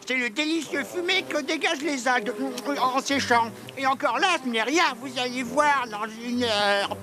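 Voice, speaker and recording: A woman's voice: sits high, moderate pace, music in the background, close to an everyday voice. A woman voicing a cheeky, chatty little elf helper in a children's cartoon, with a chirpy, enthusiastic manner. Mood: pleased. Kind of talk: animated cartoon voice acting in French.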